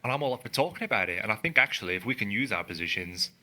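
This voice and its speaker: A man's voice: on the low side, expressive, racing, pushed and bright. A man co-hosting a casual podcast, talking earnestly and emphatically as he thinks aloud. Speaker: podcast co-host. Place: studio in a building. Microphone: studio microphone.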